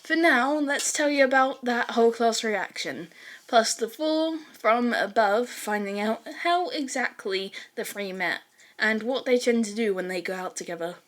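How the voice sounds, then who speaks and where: A woman's voice: a little high, a little slow. A woman reading fan fiction aloud as a scripted story narration.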